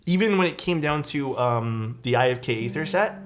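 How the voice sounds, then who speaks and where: A man's voice: medium pitch, moderate pace. Rambling guy over background music. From Canada. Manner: excitable, rambling, lots of "like" and "dude". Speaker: livestream host. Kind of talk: casual livestream chat, reading chat messages. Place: his room at home.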